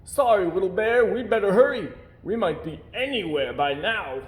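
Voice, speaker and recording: A man's voice: sits high, animated, normal speed, voice pushed up. A man voicing a helpful, apologetic female toy camel in a storybook. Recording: roomy, echoey indoor sound.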